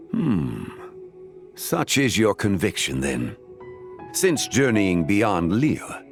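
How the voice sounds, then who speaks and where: A man playing a calm, learned, courteous scholar of ancient lore in a video game, speaking in a formal, measured, eloquent way. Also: low voice, exaggerated voice. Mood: grave, reflective. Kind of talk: video game dialogue acting.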